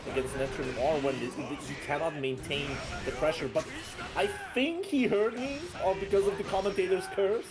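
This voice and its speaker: A man doing play-by-play commentary on a fighting game, excitable and bursting loud at big plays. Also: fairly high pitch, normal speed, crowd noise. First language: not French. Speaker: esports commentator.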